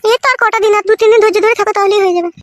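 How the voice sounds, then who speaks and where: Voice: very high-pitched, steady tone, very fast, fairly bright tone, no room sound, studio quality. A performer voicing a bossy, short-tempered village wife in a comic cartoon, scolding curtly. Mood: irritated, dismissive. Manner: scolding, curt, threatening. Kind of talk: exaggerated comic cartoon dialogue. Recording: clearly noisy track with clicks.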